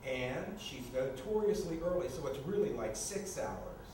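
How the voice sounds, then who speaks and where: Male actor as uptight, sarcastic neat-freak housemate, slightly high voice, comedic stage reading, distant in a reverberant hall. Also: animated, measured pace. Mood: anxious, dry. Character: fussy, anxious, defensive neat-freak man with a housemate. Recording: distant, very echoey room, noisy ambience.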